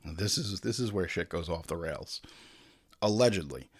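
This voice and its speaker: A man's voice: deep voice, expressive, normal speed, slightly rough. A man hosting a film commentary show, irreverent and sweary, drifting into comic tangents and acted-out asides. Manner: irreverent, sweary, digressive, mimics people in asides.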